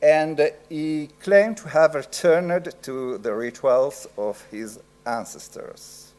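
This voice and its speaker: A middle-aged man with an Italian accent giving an academic lecture, slow and halting with frequent pauses. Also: fairly high pitch, a little mellow.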